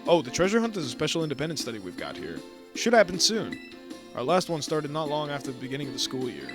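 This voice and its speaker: A man's voice: on the high side, sing-song, fast talker, music in the background. A man voicing a peppy, eager teenage girl battle champion, reading her lines aloud in an upbeat, bragging way while playing a game.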